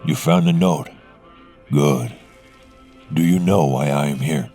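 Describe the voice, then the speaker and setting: An actor voicing a cold, patient villain in a video game, deliberate, threatening and taunting. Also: male voice, very deep voice, vocal fry, dark tone, soft background music, full character voice. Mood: cold menace. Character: menacing, coldly patient male villain offering deals. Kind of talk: video game character dialogue.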